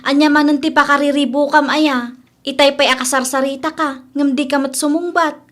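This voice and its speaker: Woman acting a high-voiced, blunt Ilocano best friend. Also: steady tone, normal speed, natural voice. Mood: concerned, prodding. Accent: Filipino, Ilocano. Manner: nagging, outspoken. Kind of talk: radio-style drama acting.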